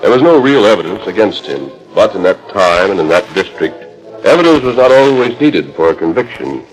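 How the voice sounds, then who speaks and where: A man's voice: fairly low pitch, big pitch swings, fairly quick, low-quality recording. A man narrating a 1940s radio western as announcer, in a dramatic, formal storytelling manner. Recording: 1941 radio transcription disc with music.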